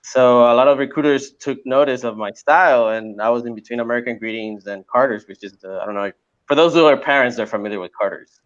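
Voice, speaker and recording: A man in his forties, a native Spanish speaker from Puerto Rico, talks through his career with a lot of hesitations and restarts. His voice sits at a middle pitch. The sound is dull and lo-fi. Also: fast talker. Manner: hesitant, many fillers and restarts. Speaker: guest. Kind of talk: casual interview talk.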